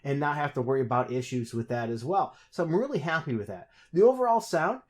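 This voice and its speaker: A man's voice: medium pitch, expressive, quick pace. A man hosting a casual product review, talking chattily and often correcting himself mid-sentence. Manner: chatty, frequent self-corrections and restarts.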